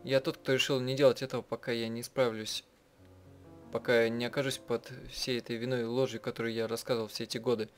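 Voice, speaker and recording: A man's voice: medium pitch, flat delivery. A man translating a visual novel aloud and commenting casually, often stopping to rephrase as he goes. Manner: halting, often rephrasing mid-sentence. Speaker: game player translating and voicing a visual novel. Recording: music playing behind the voice. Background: game soundtrack music.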